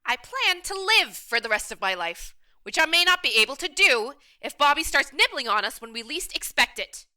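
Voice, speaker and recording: A woman's voice: sits high, big pitch swings, moderate pace, pushed and bright, heavily acted. A woman playing a blunt, self-preserving survivor in a radio play, arguing pointedly. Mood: defensive, sharp. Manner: pointed, argumentative.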